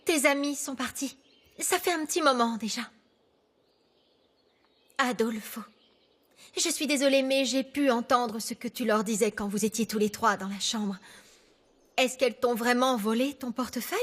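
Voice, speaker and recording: A woman's voice: a little high, normal speed, pauses often, lightly acted. An actress playing a polite, uneasy young woman visiting someone. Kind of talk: dubbed drama acting.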